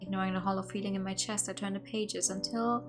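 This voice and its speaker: A woman streamer reading a visual novel aloud in an expressive way, with laughing asides. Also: medium pitch, fast talker. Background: faint game music.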